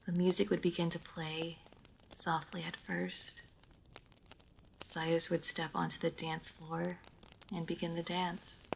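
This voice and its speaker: A woman playing a refined, reserved vampire noblewoman in a roleplay audio drama, speaking formally with measured diction, hesitant pauses and dry playfulness. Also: on the low side, level tone, gravelly, slight background noise, poor audio. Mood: wistful, reverent. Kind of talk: roleplay audio drama, intimate monologue to listener.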